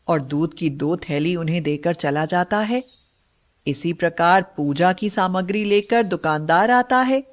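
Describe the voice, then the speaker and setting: A woman delivers scripted storybook narration. She speaks at a slow, measured pace in a medium-pitched voice, laying out the tale line by line.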